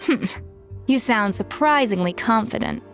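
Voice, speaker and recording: A woman's voice: a little high, lively intonation, a little slow, creaky, a bit bright, big-room sound, soft background music, heavily acted. A woman voicing a proud, exacting young chef in a video game, speaking in a precise, matter-of-fact, lecturing way. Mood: challenging.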